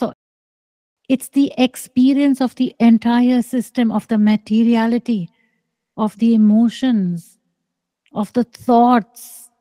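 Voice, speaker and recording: Middle-aged woman, spiritual teacher; very slow, mellow, dry close video-call sound. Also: a little high, no room sound. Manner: slow, soft and deliberate, with long pauses. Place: small room indoors. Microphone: close microphone on a video call.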